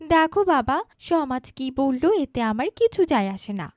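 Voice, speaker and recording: A woman plays a defiant modern girl of eighteen in a cartoon story. Her voice is very high and swings widely in pitch as she argues her case insistently. The sound is dry and studio-close. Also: lively intonation, moderate pace, dry recording. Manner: argumentative, insistent. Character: defiant, headstrong eighteen-year-old modern daughter. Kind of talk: cartoon dialogue acting.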